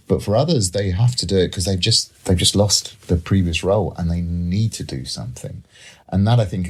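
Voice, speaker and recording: Low, husky-voiced man explaining ideas. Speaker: panel guest, economist. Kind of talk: conversational panel discussion.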